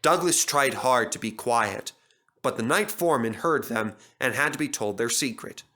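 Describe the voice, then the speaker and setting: A man's voice: mid-range voice, moderate pace, bright, forceful tone. A man narrating a children's storybook as a dramatic storyteller.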